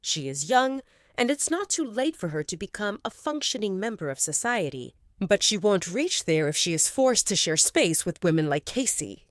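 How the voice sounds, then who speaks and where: A woman voicing a calm, persuasive male alpha of a rival pack who talks in a measured, reasoning way. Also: medium pitch, no echo, clean studio sound, close to an everyday voice.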